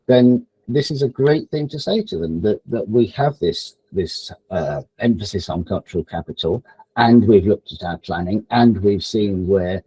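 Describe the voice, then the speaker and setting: A man speaking informally at an online event for teachers, halting and repeating phrases as he thinks aloud. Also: lowish voice, lively intonation, mellow, husky, dry recording, poor audio. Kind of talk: informal presentation, advice to teachers. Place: online event, close dry sound.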